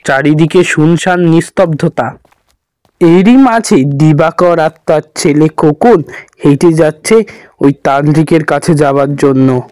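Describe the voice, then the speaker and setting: A man narrating a horror cartoon in a grave, even storytelling manner. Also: fairly high pitch, measured pace, dry recording. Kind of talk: scripted narration.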